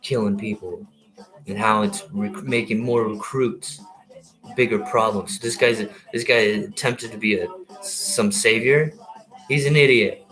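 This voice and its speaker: A man's voice: on the low side, fairly even intonation, measured pace, fairly bright tone, faint music behind, poor audio. Heated, rambling man in his thirties. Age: 30s. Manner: heated, profane, many restarts. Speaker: vlogger, military veteran. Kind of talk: rambling ranting monologue.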